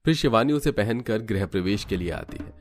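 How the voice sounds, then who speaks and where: Deep-voiced man narrating a story. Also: animated, a little fast, soft background music. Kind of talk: scripted cartoon story narration.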